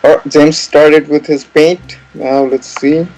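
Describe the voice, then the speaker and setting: A man co-hosting an online painting contest, a former contestant, casual and excited, often saying "like". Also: fairly high pitch, slow, slight background noise, poor audio. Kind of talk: live online commentary.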